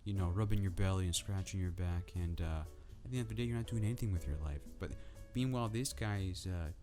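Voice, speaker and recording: A man ranting casually to camera about football in a soft, calm, drowsy way. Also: sits low, fast talker, music in the background. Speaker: football vlogger.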